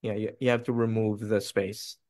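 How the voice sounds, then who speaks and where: A man's voice: lowish voice, level tone, normal speed, darkish tone, no room sound, studio quality. A man whose first language is Filipino, speaking as a video editor in a casual webinar Q&A, loose and conversational, often checking in with listeners. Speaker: video editor, guest presenter.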